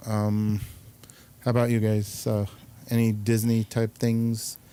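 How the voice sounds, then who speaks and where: Mellow-voiced man hosting a chat show. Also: on the low side, completely flat delivery, slow, clean tone. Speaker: talk show host. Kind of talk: casual rambling round-table chat.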